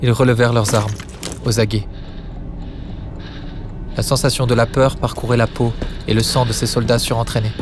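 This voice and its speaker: A man's voice: mid-range voice, level tone, normal speed, pauses often, noisy background. A man narrating dramatic science-fiction audio fiction in a solemn, suspenseful manner, in short weighted phrases. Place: studio. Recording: studio narration over music bed. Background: music.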